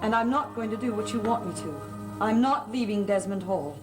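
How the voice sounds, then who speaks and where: A woman's voice: medium pitch, a little fast, lightly acted. An actress playing an earnest, timid young woman with menacing resolve in a gothic soap opera, sincere and intense. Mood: defiant. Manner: sincere, hesitant yet intense. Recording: dramatic music behind the voice.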